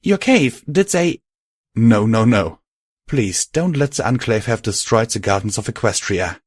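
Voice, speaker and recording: A man voicing a young female unicorn pony who speaks in high, excited exclamations. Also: normal speed, husky, no room sound, clean studio sound. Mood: alarmed.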